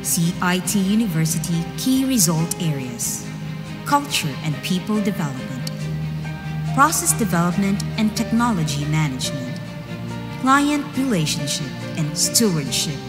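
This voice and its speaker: A woman narrates a promotional video in a measured, slogan-listing delivery. Her lowish voice rises and falls with lively intonation. Loud music plays behind her. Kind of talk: scripted narration.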